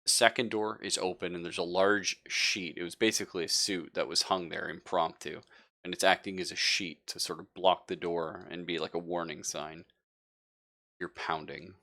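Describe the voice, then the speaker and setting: A man's voice: low voice, steady tone, normal speed, bright, forceful tone. A man narrating a tabletop roleplaying game as game master, casual and joking as he improvises.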